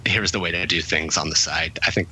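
A man's voice: sits low, fairly even intonation, a little fast, sharp tone, slight background noise, low-quality recording. A man being interviewed about his working life, talking in a reflective, rambling way with many fillers and restarts. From English-speaking country. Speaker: guest, author. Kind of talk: conversational interview.

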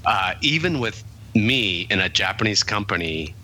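A man's voice: lowish voice, sing-song, moderate pace, sharp tone, a little hiss or hum. A man being interviewed about his working life, talking in a reflective, rambling way with many fillers and restarts. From English-speaking country. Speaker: guest, author. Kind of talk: conversational interview.

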